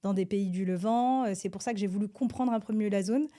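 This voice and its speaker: A woman from France, a government advisor, answers interview questions in a hesitant, halting way with many filler sounds and restarts. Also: medium pitch, dark tone. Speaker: government advisor, podcast guest.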